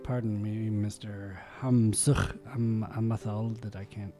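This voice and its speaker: A male radio host with a soft, dark tone recites poetry with real theatrical flair, rising to loud peaks on the big lines. Between poems he drops to low, mumbled, offhand remarks. Gentle music sits faintly in the background of the studio. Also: level tone, a little slow. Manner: theatrical, swells loud on key lines, then drops to quiet murmured asides. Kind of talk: dramatic poetry reading with chatty asides. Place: small community radio studio. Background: soft music underneath.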